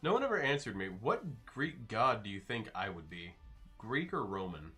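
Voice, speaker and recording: A man's voice: on the low side, very animated, normal speed, pushed and bright, soft background music. A man streaming live, casually reading and answering his chat with loose, joking replies.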